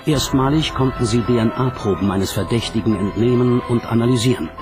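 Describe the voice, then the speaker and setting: A man reading scripted documentary narration in a measured, dramatic manner. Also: medium pitch. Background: music.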